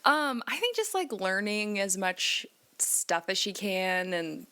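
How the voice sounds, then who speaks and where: A woman's voice: medium pitch, sing-song, slow, pushed and bright, smooth. A woman in casual group chat as a game player, talking informally with lots of "like". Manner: informal, peppered with "like".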